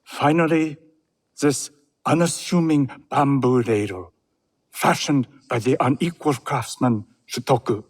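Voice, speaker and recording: An actor playing a serene older Japanese tea master in a game cutscene, with gentle, deliberate, measured phrasing. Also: male voice, medium pitch. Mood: gracious, generous. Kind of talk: video game cutscene acting.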